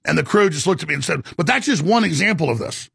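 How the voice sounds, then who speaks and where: Male talk show host, heavy gravel and rasp, high-set pitch, urgent animated storyteller. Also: fast talker, no room sound, studio quality. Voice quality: gravelly, raspy.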